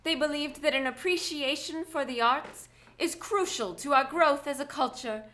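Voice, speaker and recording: A poised, wealthy society lady, played by a woman in a live radio drama. Her voice is high and bright. She speaks with formal, polished composure. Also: normal speed. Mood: formal, gracious.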